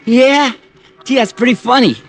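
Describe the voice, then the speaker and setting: A brash young newsboy. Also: male voice, very high-pitched, moderate pace, background noise. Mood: cheeky. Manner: shouting headlines, wisecracking. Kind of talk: 1950s radio drama acting.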